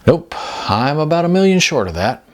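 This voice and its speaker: A man's voice: a little high, sing-song, normal speed, pitched above the performer's own voice. A man voicing a laid-back, world-weary older man in an audiobook, laconic and easygoing with some hesitation. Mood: dry. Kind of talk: audiobook character dialogue.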